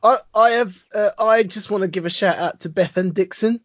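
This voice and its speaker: A man's voice: high voice, normal speed, a bit bright, no room sound, dull audio. A man co-hosting a podcast in casual chat, enthusiastic and rambling, with some teasing. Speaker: podcast co-host.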